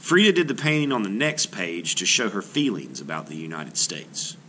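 A man reading a children's biography aloud like an art teacher, in an expressive storytelling way with sentences that trail off. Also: deep voice, normal speed. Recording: noticeable background noise.

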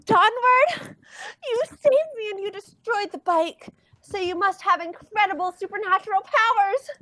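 A lovestruck teenage cartoon duck girl, acted by a woman, gushing and melodramatic. Her voice is high and recorded dry and close, as in a comic dub. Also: a little slow, bright, forceful tone, dry recording, full character voice. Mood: excited. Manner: gushing, dramatic delivery. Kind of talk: live comic dub reading.

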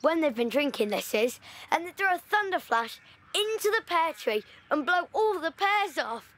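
Nosy little boy in ww2 radio play, high voice, knowing, likes telling what he's seen. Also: very animated, normal speed, a bit bright, full character voice. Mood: eager, knowing. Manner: knowing, storytelling. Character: sharp, observant, secretive young wartime boy. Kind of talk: audio drama dialogue.

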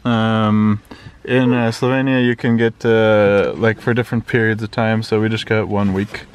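A man's voice: lowish voice, level tone, normal speed, clean tone. A man in his thirties chatting casually in a car vlog, rambling with many fillers and restarts. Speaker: travel vlogger. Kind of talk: casual vlog talk while driving. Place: inside a car on a highway. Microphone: camera mounted on dashboard. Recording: loud road and engine noise.

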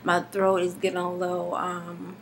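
A woman in her thirties, slightly hoarse and congested, talking haltingly in a casual video diary with many fillers and restarts, recorded on a webcam in her living room. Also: lowish voice, monotone, slight background noise. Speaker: vlogger. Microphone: webcam at arm's length.

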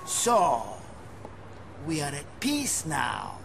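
A grave old mafia elder in a game cutscene. The voice is deeply creaky and crawls along solemnly, swinging widely in pitch over clear ambient noise. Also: male voice, wildly swooping pitch, exaggerated voice. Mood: solemn, satisfied. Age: older. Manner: measured, drawn-out, solemn. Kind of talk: video game cutscene acting.

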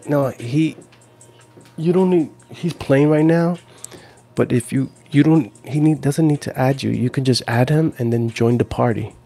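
A man's voice: medium pitch, a little slow, vocal fry, dark tone, soft background music. A man in his forties hosting a playful ASMR stream close to the mic, often whispering and repeating words and phrases. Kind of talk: ASMR live stream, whispered trigger words and casual chat. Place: small room at home with gaming screen. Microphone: close handheld recorder on stand with foam windscreen.

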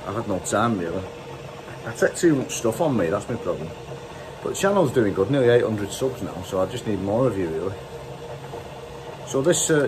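A man's voice: fairly low pitch, background noise. A British man in his forties, a car mechanic talking casually and bluntly to his phone camera in a workshop. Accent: British English. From United Kingdom. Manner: blunt, chatty, rambling with fillers. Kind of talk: casual vlog chat. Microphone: phone held at arm's length.

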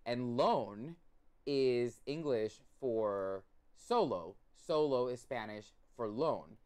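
A man's voice: mid-range voice, expressive, slow, a little mellow, clear, resonant tone. A young man from Puerto Rico, a documentary filmmaker, chatting eagerly on a video call with lots of restarts and fillers. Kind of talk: casual interview chat. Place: home room full of collectibles. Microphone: video call webcam.

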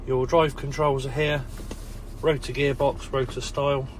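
A man with a croaky, hoarse voice and a British accent, casually talking through a car's features while filming in an indoor showroom. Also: mid-range voice, unhurried, a little hiss or hum. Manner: relaxed, chatty listing of features. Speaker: car salesman. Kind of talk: walkaround car sales video. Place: indoor car showroom with tiled floor.

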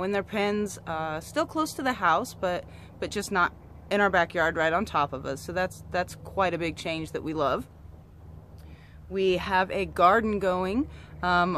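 Woman, 30s, homesteader host; casual rambling farm-tour vlog outdoors, handheld camera, light background hiss. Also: fairly low pitch. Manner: chatty, with frequent restarts and ums. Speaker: goat farmer and channel host. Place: outdoors on a dry rural homestead. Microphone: camera held at arm's length, then walking behind it.

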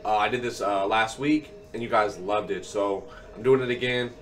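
A man's voice: fairly low pitch, normal speed, faint music behind. A young man in his twenties, slightly nasal from a cold, giving upbeat casual commentary on his own game from a small room at home. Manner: upbeat, explanatory, many fillers. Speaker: gaming coach and YouTuber.

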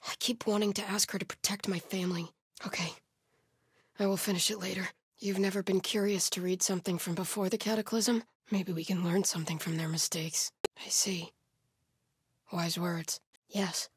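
A woman's voice: lowish voice, steady tone, moderate pace, husky, no echo, clean studio sound. An actress playing a grim, devout female cult soldier in a video game, with a stern, zealous manner. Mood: grim, hushed. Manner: solemn, stern, swinging from hushed muttering to shouted alarms. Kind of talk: video game combat voice lines.

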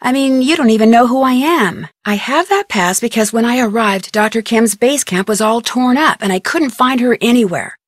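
A plucky young American female detective from a mystery video game, played by a woman. Her voice sits fairly high and is recorded dry. She speaks clearly and directly, as a polite, articulate guide and sleuth. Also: moderate pace, few pauses, no echo, close to an everyday voice. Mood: indignant, firm. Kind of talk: scripted video game dialogue and tutorial narration.

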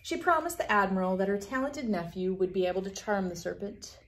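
A woman's voice: medium pitch, animated, fairly quick. A woman in her thirties reading a picture book aloud as a children's read-aloud host. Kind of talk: storybook read-aloud narration. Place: small wood-panelled room indoors.

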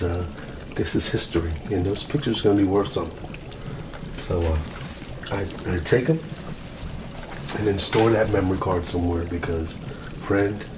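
A man playing an eager, self-important mad scientist doctor in an ASMR roleplay, musing aloud in halting short phrases. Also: low voice, natural voice. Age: middle-aged. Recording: roomy, distant mic with loud noise.

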